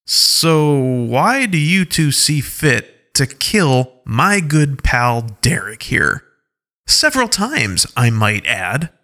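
A man's voice: medium pitch, animated, slow pace, bright, forceful tone, heavily acted. A man voicing an angry, sarcastic wolf-pack leader who mocks and scolds. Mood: indignant. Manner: mocking, indignant outbursts.

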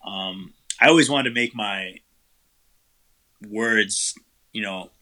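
A man's voice: lowish voice, slow pace, poor audio. A man, the guest vocalist, answering interview questions casually, with lots of fillers and loose rambling. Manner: relaxed, rambling, many fillers.

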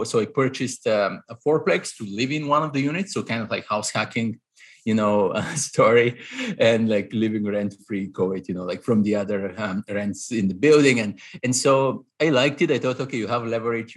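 Husky bulgarian guy in his 30s explaining stuff, lots of ums and restarts. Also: mid-range voice. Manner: analytical, many fillers and restarts. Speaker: guest, real estate investor. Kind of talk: conversational interview answers.

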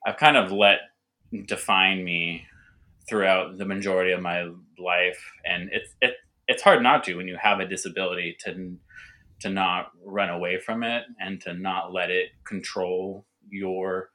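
Guest, male, stutters; deep and bright, halting delivery. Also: steady tone. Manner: hesitant, with restarts and repeated words. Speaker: winemaker guest. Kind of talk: casual podcast interview.

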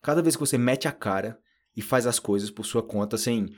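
A chatty Brazilian man hosts a relaxed podcast lesson and speaks with a Brazilian Portuguese accent. His voice sits at an ordinary middle pitch. He peppers his talk with fillers and jumps into a higher voice whenever he acts out someone he is quoting. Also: brisk. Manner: lots of fillers like "tipo" and "né". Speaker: Portuguese teacher, podcast host.

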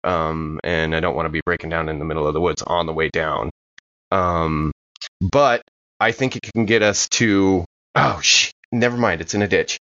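Guy playing an rpg, kinda low voice, rambly thinking out loud, bit muffled lo-fi mic. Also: normal speed, dry recording. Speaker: tabletop game player. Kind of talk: casual improvised role-play, describing own actions.

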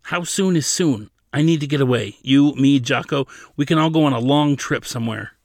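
A petulant eighteen-year-old prince from an audiobook, acted by a man. He is stubborn and sulky, protesting in a raised, creaky voice. The sound is close and dry. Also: mid-range voice, normal speed, no echo, pitched above the performer's own voice, natural voice. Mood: pleading. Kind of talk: audiobook character dialogue.

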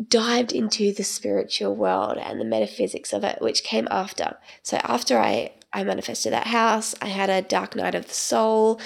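A young woman talks through a solo podcast episode in a loose, rambling monologue full of ums. Her voice is heavily gravelly and croaky because she is getting over a cold. Her pitch sits in the middle range. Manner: rambling, chatty, many ums. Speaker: podcast host.

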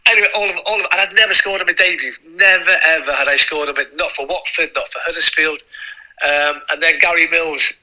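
A middle-aged Welsh man whose first language is Welsh, a former footballer telling stories in a relaxed chat, often repeating and restarting his sentences. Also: fairly high pitch, fast talker, rough recording. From North Wales. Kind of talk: casual reminiscing chat.

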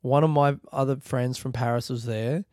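A man's voice: medium pitch, steady tone, normal speed, a little mellow, no echo, studio quality. A man, a comedian and podcast host telling a long rambling story with loose, profane phrasing and laughter.

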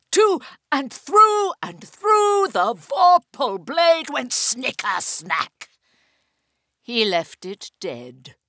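A woman giving a theatrical recitation of a nonsense poem, deliberate and emphatic. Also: high voice, fairly bright tone, no room sound, studio quality. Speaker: reader of a poem.

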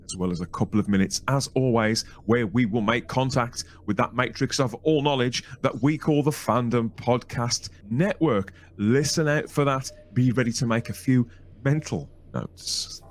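A man's voice: medium pitch, moderate pace, a little hiss or hum. A man with a Birmingham accent hosting a podcast, reading a playful, chatty intro and poking fun at himself. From England. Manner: playful, chatty, self-mocking. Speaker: podcast host.

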